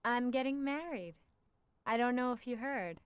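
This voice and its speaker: An actress voicing a young woman in a video game, speaking softly and hesitantly. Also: fairly high pitch, normal speed, clear, resonant tone, dry recording, lo-fi sound, close to an everyday voice. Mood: wistful. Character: young woman, wistful, newly engaged.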